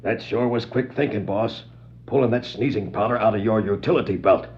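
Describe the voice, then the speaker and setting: An actor playing an admiring, slow-witted gangster henchman with a gravelly voice in a 1960s children's record drama. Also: fairly low pitch, close to an everyday voice. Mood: curious, admiring. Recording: muffled 1960s vinyl record.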